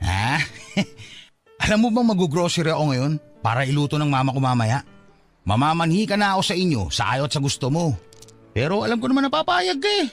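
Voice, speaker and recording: High-pitched, teasing Filipino suitor. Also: male voice, very animated, a little fast. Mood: playful, triumphant. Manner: teasing, wheedling, playful. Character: cheeky, scheming young male suitor, teasing and insistent. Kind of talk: Filipino radio-style romance drama acting. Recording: music under the dialogue.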